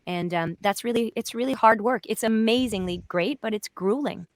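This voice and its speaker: A woman's voice: fairly high pitch, moderate pace, no echo, clean studio sound. A woman voice actor talking casually about her work on a panel, recorded at home.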